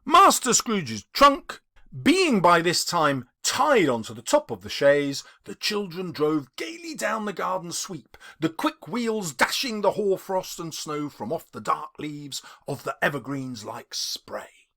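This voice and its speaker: Slow, theatrical male audiobook narrator. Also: fairly high pitch, sing-song, vocal fry, bright, forceful tone. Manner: theatrical, deliberate phrasing. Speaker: audiobook narrator and English teacher. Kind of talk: dramatic storybook narration.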